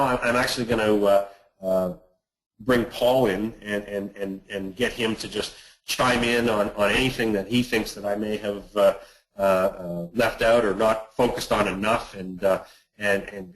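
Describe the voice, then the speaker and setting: A man presenting on an investment webinar, speaking haltingly and often repeating words. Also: fairly low pitch, fairly even intonation, rough recording. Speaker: investment manager hosting a client webinar. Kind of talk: presentation on a conference call.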